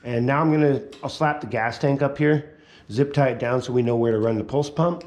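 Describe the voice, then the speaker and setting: A man casually narrating his repair work in a workshop, half muttering to himself as he works, a little hoarse. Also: mid-range voice, a little fast, roomy sound. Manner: relaxed, mutters quietly while working. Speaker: vlogger working on a small motor vehicle.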